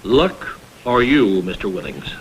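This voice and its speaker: An actor playing a proud, blunt, self-made father in a vintage crime drama, wary and curt with a skeptical, forceful manner. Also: lowish voice, big pitch swings, measured pace, gravelly, exaggerated voice, rough recording. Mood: coldly skeptical. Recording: vintage TV soundtrack, faint noise.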